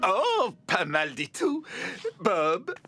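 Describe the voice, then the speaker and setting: An actress voicing an excitable, perfectionist young inventor of milkshakes in a children's cartoon, dramatic in how she announces each try. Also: lowish voice, soft background music, full character voice. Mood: curious. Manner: dramatic, perfectionist, slow and savoring. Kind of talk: cartoon dubbing.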